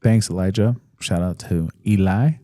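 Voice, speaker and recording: A man in his thirties co-hosting a podcast in casual chat, reading listener comments aloud in a relaxed, hesitant way with fillers. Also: low voice, normal speed, dry recording, studio quality. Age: 30s. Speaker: podcast co-host.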